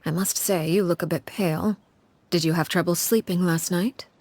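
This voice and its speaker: A woman voicing a cold, poised leader in a video game who speaks in a measured, formal, quietly mocking way. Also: on the low side, steady tone, dry recording. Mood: coolly mocking. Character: cold, commanding female leader, poised and unsettling.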